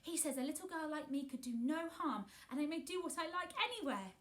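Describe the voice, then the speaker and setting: A high, tremulous little girl, as a woman narrator plays her in a storybook. She is timid and anxious yet eager, and pleads earnestly. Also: animated, fairly quick, a bit bright. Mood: excited, delighted. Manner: breathy gasps and tremulous, quavering pleading.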